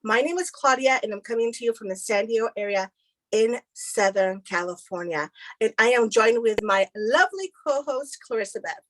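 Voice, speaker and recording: A chatty woman from El Salvador co-hosts a casual podcast and tells her stories with lively energy. Her voice sits a little high, and it is recorded close and dry with no room sound. She laughs easily between her stories. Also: moderate pace, no room sound, rough recording. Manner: chatty, animated storyteller who laughs often. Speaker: podcast co-host. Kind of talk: casual chat. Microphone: video call recording.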